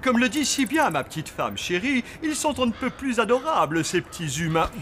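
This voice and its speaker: A man's voice: very high-pitched, sing-song, normal speed, fairly bright tone, a little hiss or hum, heavily acted. A man playing a flustered zombie father who runs a hotel in a cartoon, over-polite and nervously jovial. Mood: nervously flattering. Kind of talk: cartoon dubbing.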